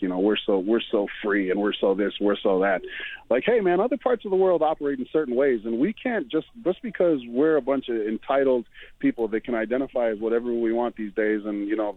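A man's voice: medium pitch, no echo. A man in his forties from New Zealand, giving blunt, rambling opinions as a commentator on a radio sports show. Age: 40s. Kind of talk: casual radio sports chat. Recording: dry tinny phone line.

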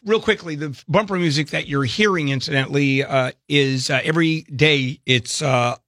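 A man's voice: mid-range voice, pushed and bright, no echo, studio quality. A man hosting a talk radio show, chatty and jokey, with frequent fillers.

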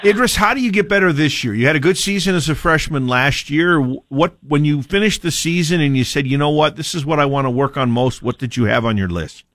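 A man asks direct questions one after another. His voice is slightly high and dry, recorded close with no room echo. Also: fairly quick, hardly any pauses, fairly bright tone. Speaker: interviewer. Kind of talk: interview questions.

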